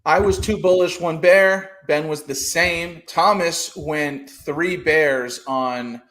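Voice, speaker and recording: A man hosts a relaxed podcast about trading over a video call. His voice sits a little high for a man, and he rambles along with frequent fillers and restarts. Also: slow pace. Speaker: podcast host. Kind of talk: casual trading podcast talk.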